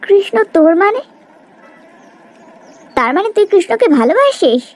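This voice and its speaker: Woman acting an earnest young cartoon heroine, very high-pitched. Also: big pitch swings, normal speed, a little mellow. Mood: bewildered. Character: earnest, self-sacrificing rich young woman. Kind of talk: cartoon melodrama dialogue.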